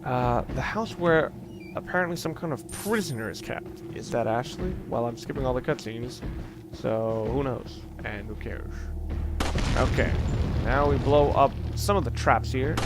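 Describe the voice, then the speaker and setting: A man hosting a let's play, commentating casually on a video game in a rambling, halting way with dry joking asides. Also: mid-range voice. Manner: rambling, halting self-corrections. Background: video game music.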